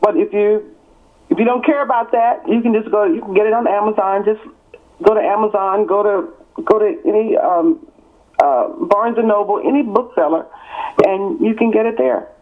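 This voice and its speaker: A woman's voice: medium pitch, normal speed. A woman in her sixties from East Texas, an author speaking as an interview guest, emphatic and building her points in repeated parallel phrases. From born in California, raised in East Texas. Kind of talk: interview on a call. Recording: tinny phone line.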